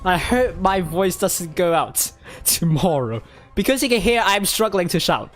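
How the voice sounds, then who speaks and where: An esports caster calling a live match, hoarse and losing their voice, getting excited and shouting during fights. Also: soft background music. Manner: excitable, rising to high exclamations during fights; rapid name-listing with frequent fillers and restarts. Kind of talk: live play-by-play commentary.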